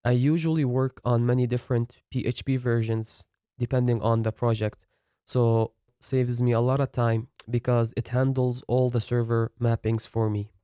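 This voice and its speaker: A man talks through a coding setup tutorial in a steady, step-by-step way. His voice is mellow and dark, at an ordinary pitch. It is recorded dry and close, as a voice-over. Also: moderate pace, smooth, no room sound. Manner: plain step-by-step explaining. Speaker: software tutorial instructor.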